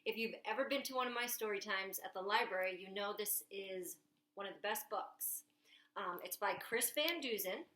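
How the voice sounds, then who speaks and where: A woman, a children's librarian, hosting a story time from a living room, talking to children in a slow, animated way. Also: mid-range voice. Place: home living room with a couch. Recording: echoey room.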